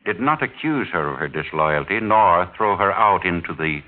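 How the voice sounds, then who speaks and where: An actor playing a wealthy older husband in a 1940s radio mystery, formal and measured, falsely genial with quiet menace. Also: male voice, deep voice, lively intonation. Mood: insinuating. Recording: muffled, noisy 1940s radio recording.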